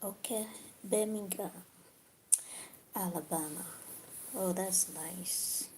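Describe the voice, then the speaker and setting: A woman's voice: lowish voice, slow pace, a little mellow, a little hiss or hum. A woman from Cameroon chatting casually with viewers on a livestream, musing aloud and often dropping to a whisper. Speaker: livestream host.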